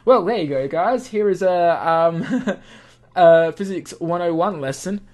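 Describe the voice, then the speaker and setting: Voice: medium pitch, slow pace, darkish tone. A game player giving playful let's play commentary and expressively reading the on-screen script aloud. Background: game music.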